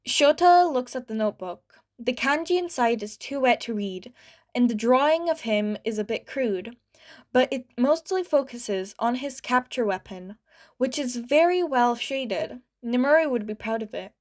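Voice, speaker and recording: A woman reading fan fiction aloud as a podfic, narrating in a light, steady way. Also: fairly high pitch, normal speed. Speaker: podfic reader.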